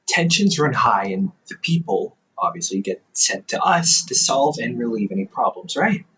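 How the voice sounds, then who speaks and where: Audio roleplay: male actor as a young adult older brother and company therapist, teasing, quick to laugh, very lively pitch. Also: creaky, heavily acted, rough recording.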